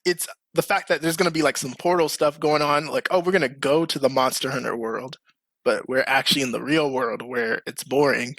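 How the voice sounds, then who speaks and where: A man's voice: medium pitch, steady tone, brisk, a bit bright, no room sound. A man hosting a casual, slangy group chat as a podcast host. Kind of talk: casual group chat about games and movies.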